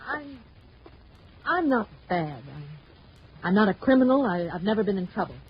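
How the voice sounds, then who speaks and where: An actress playing a guarded, wistful mistress in a vintage radio noir drama, husky-voiced, with a halting, dramatic delivery. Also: female voice, mid-range voice, sing-song, slight background noise, natural voice. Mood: defensive, shaken. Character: guarded, wistful mistress caught in a crime, female. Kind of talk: 1950s radio noir acting. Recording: dull vintage radio recording.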